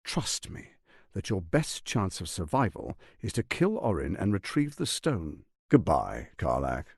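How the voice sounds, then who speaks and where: Pompous scheming tyrant with wildly swooping delivery. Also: male voice, low-pitched, huge pitch swings, measured pace, a little mellow, husky, dry recording, studio quality, heavily acted. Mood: calculating. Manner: pompous, theatrical, articulate, condescending. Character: scheming, silver-tongued tyrant archduke, grandiose and menacing. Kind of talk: theatrical video game voice acting.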